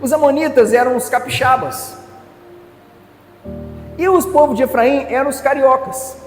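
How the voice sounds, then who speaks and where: High-voiced pastor preaching in echoey hall. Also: male voice, normal speed. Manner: playful storytelling, acting out characters with regional voices. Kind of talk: sermon. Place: church hall. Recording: echoey church hall with faint music.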